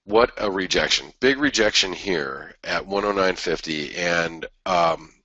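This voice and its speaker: Stuffy, raspy low-voiced man. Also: a little slow, pushed and bright, no echo, dull audio. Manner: rambling, many restarts and fillers. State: stuffy nose from a head cold. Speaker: host of a trading webinar. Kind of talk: casual market analysis talk.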